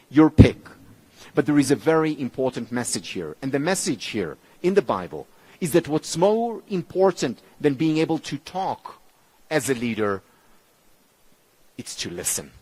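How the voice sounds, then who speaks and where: A man whose mother tongue is Hebrew gives a motivational conference talk in English, with measured, emphatic phrasing and deliberate pauses. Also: rough recording. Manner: lively, expressive pitch, dropping low on key words. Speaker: keynote speaker.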